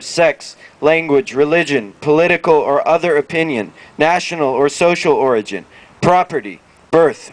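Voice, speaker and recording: A man reading a formal document aloud at a public event in measured, deliberate phrases. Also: on the high side, rough recording. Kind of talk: formal read-aloud of a declaration. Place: outdoor town plaza.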